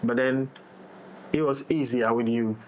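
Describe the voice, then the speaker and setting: A man speaks in a casual roundtable discussion. His pitch sits in the middle of the male range. He talks reflectively as a director, often repeating and rephrasing as he goes. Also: steady tone, a little slow, darkish tone, a little hiss or hum. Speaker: audio drama director.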